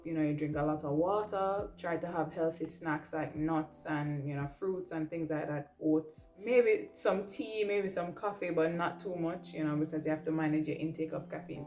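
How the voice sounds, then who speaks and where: A woman's voice: low-pitched, steady tone, normal speed, few pauses, a little mellow. A young adult woman, presenting casually to camera in a conversational, emphatic way, often checking in with the listener. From Jamaica. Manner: conversational, emphatic, ends points with "right?". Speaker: vlogger, air traffic control trainee and pilot. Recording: roomy echo, music underneath.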